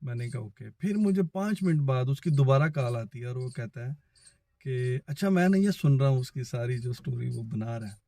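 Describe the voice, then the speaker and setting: Pakistani guy just chatting through a story, soft mellow tone, kinda low-mid voice, really dry close sound. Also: lively intonation, moderate pace, no echo. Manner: conversational, acts out both sides of remembered phone conversations. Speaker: vlogger. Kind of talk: casual first-person storytelling.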